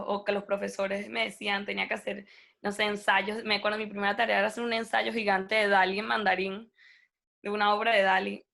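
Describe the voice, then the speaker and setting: A young woman, a native Spanish speaker, talking as an interview guest in a lively, casual way and acting out the people in her story. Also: mid-range voice, vocal fry.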